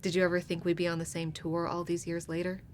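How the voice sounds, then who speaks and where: An actress playing a warm but commanding female starship captain in a sci-fi audio drama, teasing and authoritative. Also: fairly low pitch, monotone, fast talker, natural voice. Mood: fond, teasing.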